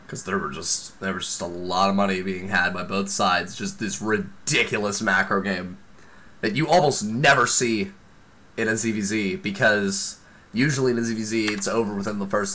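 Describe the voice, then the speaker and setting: A man giving excited live play-by-play commentary on a video game match, exclaiming often, while losing his voice. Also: fairly low pitch, slight background noise. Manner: excitable, exclaims often, talks fast in bursts. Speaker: esports commentator.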